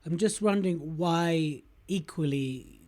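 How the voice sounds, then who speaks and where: A man's voice: fairly high pitch, takes it slowly, darkish tone. A man hosting a podcast interview, asking probing questions and summarizing what his guest says. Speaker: podcast host.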